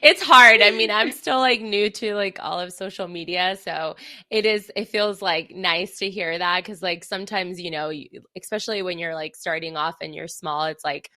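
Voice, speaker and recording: A woman's voice: fairly low pitch, normal speed, bright, forceful tone. A woman hosting a podcast, chatting casually and giggling often, with lots of fillers. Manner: bubbly, giggly, filler-heavy. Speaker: podcast host. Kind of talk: casual interview chat.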